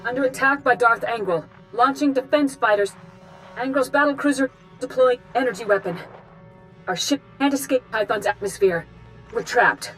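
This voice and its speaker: An actress playing an alarmed pilot giving a clipped, urgent distress report in a video game. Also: female voice, fairly high pitch, moderate pace, fairly bright tone, faint music behind, natural voice, rough recording. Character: alarmed female pilot sending distress call.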